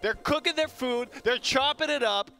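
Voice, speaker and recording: A man's voice: very high voice, moderate pace, pushed and bright. A man co-casting an esports match, playful and laughing, with bursts of hype. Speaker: esports caster. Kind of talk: esports color commentary.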